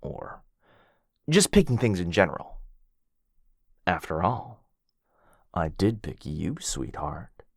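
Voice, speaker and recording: A man's voice: low voice, big pitch swings, takes it slowly, long pauses, slightly rough, no room sound, studio quality, full character voice. A man playing a cocky, jealous werewolf. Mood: teasing. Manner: cocky, mocking, bouncy teasing. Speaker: voice actor in boyfriend roleplay. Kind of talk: ASMR roleplay acting.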